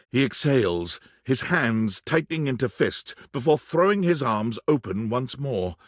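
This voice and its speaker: A man narrating a supernatural video game in the second person, with measured, theatrical scripted delivery. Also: mid-range voice, dry recording, studio quality.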